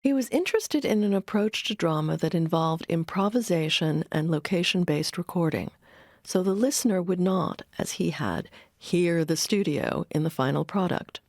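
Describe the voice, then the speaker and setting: A woman's voice: fairly low pitch, lively intonation, normal speed, a little mellow, dry recording, studio quality. A woman delivering scripted narration as a documentary narrator.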